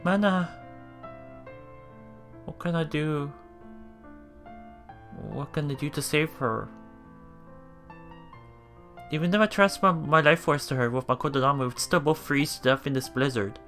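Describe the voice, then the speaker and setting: A man's voice: a little high, measured pace, pauses often, bright, forceful tone, faint music behind. Man acting out a desperate, determined young hero. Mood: desperate, pleading. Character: desperate, determined young male visual novel protagonist.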